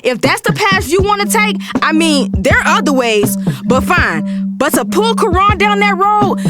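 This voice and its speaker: An actress plays a young American woman fed up with her lover, in a high voice over loud hip hop. She is indignant and proud, with a sarcastic, cutting delivery suited to heated audio drama. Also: big pitch swings, moderate pace, over loud music.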